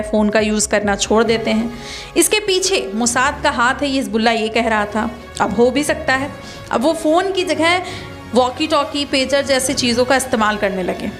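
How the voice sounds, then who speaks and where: Lady doing explainer narration, high voice, bit of music under it. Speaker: video presenter. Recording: music bed behind voice.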